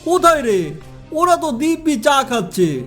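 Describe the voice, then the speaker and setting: A woman's voice: high voice, sing-song, unhurried, music in the background. A woman voicing huge cartoon monsters, grumbling, exclaiming and easily outraged. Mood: surprised, chummy. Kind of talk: cartoon dialogue.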